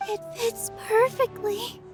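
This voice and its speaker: A woman voicing a gentle, polite and earnest young female dancer in a video game, with a sweet, courteous manner. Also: very high voice, steady tone, slow pace, music in the background. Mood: bright. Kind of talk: animated video game acting.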